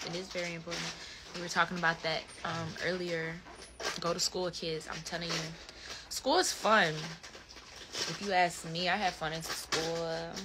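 A woman in her twenties with an American accent, chatting casually and playfully on a livestream in animated slang. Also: on the low side, gravelly, noisy background. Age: 20s. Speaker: livestream host. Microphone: phone at close range.

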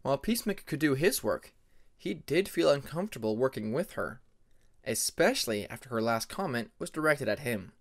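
A man's voice: mid-range voice, sing-song, brisk. A man reading a story aloud as narrator of an amateur audiobook.

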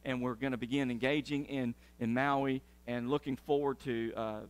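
A man's voice: mid-range voice, moderate pace, clean tone. A middle-aged man, a pastor giving church announcements in sermon style, talking animatedly as he moves around a large church sanctuary. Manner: animated, gesturing while pacing the stage.

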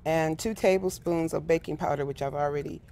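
A woman's voice: sits low, fairly even intonation, fairly quick, vocal fry, mellow. A middle-aged woman giving a casual, step-by-step cooking demonstration as a guest cook in a studio kitchen. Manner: instructive, step by step.

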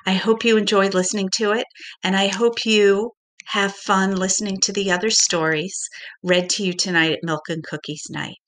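A middle-aged woman reading a picture book aloud to young children in a deliberate, expressive way, like a teacher. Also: medium pitch. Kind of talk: children's storybook read-aloud.

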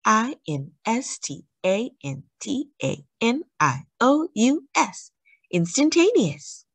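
A woman's voice: fairly high pitch, sing-song, slow pace, breathy edge, no room sound, pitched above the performer's own voice, heavily acted. A woman voicing an earnest, courteous young schoolgirl in a spelling contest from a storybook, enunciating carefully. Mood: determined.

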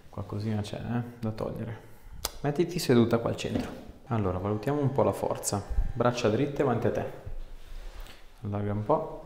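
A man's voice: fairly low pitch, warm tone. A man, working as an osteopath, chatting casually and giving a patient short instructions. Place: small bare treatment room, indoors. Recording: echoey small room, audible noise.

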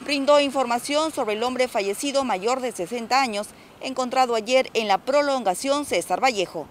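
High-voiced Peruvian woman reporter. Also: moderate pace, slight background noise. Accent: Peruvian Spanish. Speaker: field reporter. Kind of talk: news voice-over narration.

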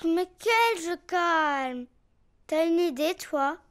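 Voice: very high voice, animated, slow, fairly bright tone, heavily acted. A performer voicing a bossy, pouty little girl bear cub in a preschool cartoon, childlike and know-it-all. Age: child character. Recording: faint music behind the voice.

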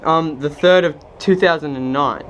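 A man making a phone call, speaking in a hesitant, halting way. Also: fairly high pitch, a bit bright. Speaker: prank caller. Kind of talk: phone conversation. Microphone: recorded beside a phone on speaker. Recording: faint background noise.

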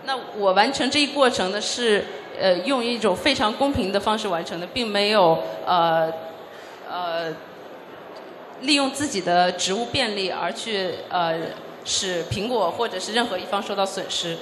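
A woman's voice: mid-range voice, background noise. Woman interpreting in an echoey hall. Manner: measured, with frequent fillers. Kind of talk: consecutive interpreting. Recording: echoey hall with faint ambience.

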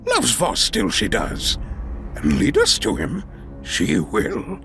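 An ancient, tiny, wise male alien master in an audio drama. The voice is slow and croaky, leaping about in pitch, with word order turned backwards. Loud music plays behind. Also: huge pitch swings, exaggerated voice. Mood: grave, musing. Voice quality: croaky, gravelly. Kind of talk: fan audio drama acting.

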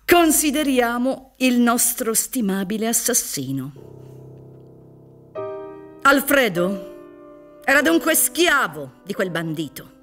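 A woman's voice: on the high side, big pitch swings, takes it slowly, pauses often, fairly bright tone, full character voice. A middle-aged woman playing a theatrical, insinuating prosecutor in a radio drama, with a rhetorical, declamatory delivery. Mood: triumphant. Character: prosecutor in a mock trial, theatrical, gleefully insinuating retired official. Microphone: studio microphone on stage, headphones. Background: music.

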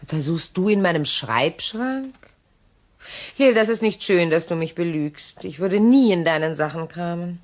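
Low-voiced guarded widow, radio drama actress. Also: female voice, expressive, normal speed, lightly acted. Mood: reproachful. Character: guarded, self-reliant widow hiding her fear. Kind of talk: German crime radio play acting.

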